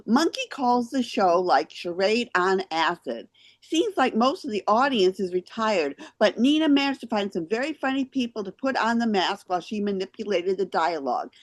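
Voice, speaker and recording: A woman hosting a theater show, chatting with guests and giving spoken reviews in an expressive way. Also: medium pitch, moderate pace.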